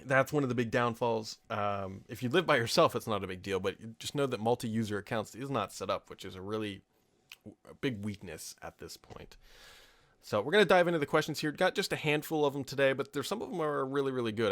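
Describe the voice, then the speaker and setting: A man's voice: mid-range voice, animated, a little fast, fairly bright tone. An American man in his thirties hosting a tech podcast, talking off the cuff in an informal, opinionated, rambling way. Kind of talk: casual off-the-cuff commentary answering listener questions.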